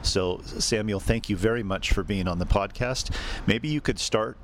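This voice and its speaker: A man hosting a podcast and interviewing guests, speaking conversationally in a measured, halting way with pauses. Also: fairly low pitch, a little hiss or hum. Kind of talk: conversational spoken introduction and interview.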